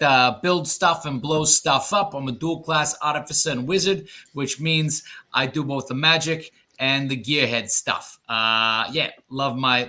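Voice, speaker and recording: A man's voice: mid-range voice, bright, forceful tone. A man giving a casual, jokey introduction as a player in a tabletop game.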